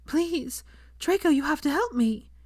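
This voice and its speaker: A young witch voiced by a woman narrator pleads in a high, cracking voice. She is helpless and weighed down by guilt, breaking off her sentences. The storybook drama is recorded dry and close. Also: lively intonation, measured pace, a little mellow, dry recording. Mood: desperate.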